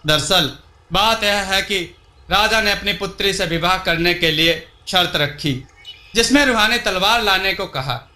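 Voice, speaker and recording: Man voicing an earnest, bright-voiced young adventurer. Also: sits high, measured pace, echoey room, exaggerated voice. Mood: nervous, pleading. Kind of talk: storybook dialogue.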